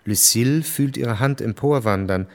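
A man reading scripted literary narration for a radio drama in a measured, composed way. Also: medium pitch. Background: music.

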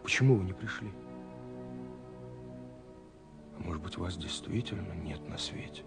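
An actor playing an earnest young romantic in a 1970s Soviet radio play, confiding haltingly with dramatic pauses. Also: male voice, sits low, gravelly. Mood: wistful, hesitant. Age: young adult. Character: earnest young romantic man searching for a mysterious girl. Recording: 1970s radio recording with faint music. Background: faint music.

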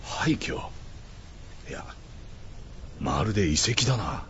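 A man acting in a radio drama as a grave, steady, terse leader of an expedition. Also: low voice, slow, slight background noise, full character voice. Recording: muffled radio drama, music behind.

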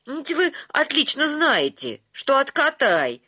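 A woman's voice: sits high, wildly swooping pitch, unhurried, bright, forceful tone, exaggerated voice. An actress playing a stubborn young landowner's daughter, argumentative and insistent, stretching her stressed words in theatrical radio comedy style. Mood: reproachful.

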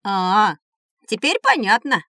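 A woman's voice: mid-range voice, huge pitch swings, slow, pushed and bright, dry recording, studio quality, exaggerated voice. A woman voicing an eager, curious and polite young boy in a children's audio play.

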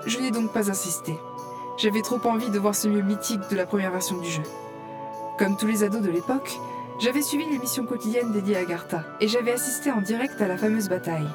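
A woman playing a curious adventurer telling her own fantasy tale as scripted audio fiction narration. Her pitch is medium, and loud music runs beneath her voice. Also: quick pace, close to an everyday voice. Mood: composed narration. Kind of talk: scripted first-person narration.

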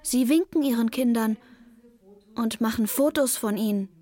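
A woman playing an earnest six-year-old daughter who narrates life with her mother in simple, measured words for a radio drama. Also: on the high side, warm tone, natural voice. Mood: earnest, wondering. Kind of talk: radio drama, first-person narration and dialogue.

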